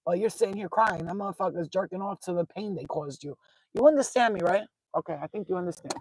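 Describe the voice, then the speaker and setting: A woman from Albania with a husky, rough voice, talking informally as an online spiritual card reader, blunt and profane, repeating herself for emphasis. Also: on the low side, quick pace, a little mellow, slight background noise, low-quality recording. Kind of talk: informal, unscripted talk to followers.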